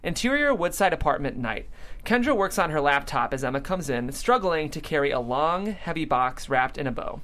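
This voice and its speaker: A woman's voice: low voice, very animated, normal speed, fairly bright tone. A woman reading scripted stage directions as narrator at a comedy table read.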